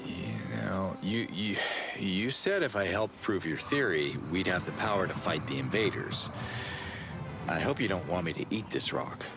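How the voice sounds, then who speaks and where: A man's voice: sits low, expressive, normal speed, gravelly. A man playing a dry, sardonic, laid-back hero in a video game cutscene. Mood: wry. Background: background music.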